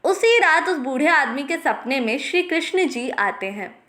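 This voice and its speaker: A woman's voice: sits high, lively intonation, measured pace, bright, forceful tone. A woman narrating a scripted bedtime story for children as a storyteller.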